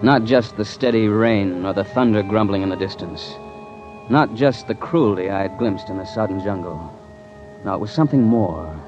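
An actor playing a hard-boiled, principled American investigator in a vintage radio drama, terse and measured as he narrates and speaks. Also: fairly low pitch, natural voice. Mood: brooding narration. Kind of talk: 1940s-50s radio drama acting with first-person narration. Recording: muffled vintage radio with music.

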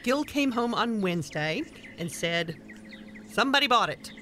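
A woman's voice: mid-range voice, expressive, normal speed, fairly bright tone, slight background noise, lightly acted. A woman playing a bossy, teasing older grandmother in a radio play, dryly exasperated with her husband and scolding in a no-nonsense way. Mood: gentle, confiding. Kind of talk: small-town radio play acting.

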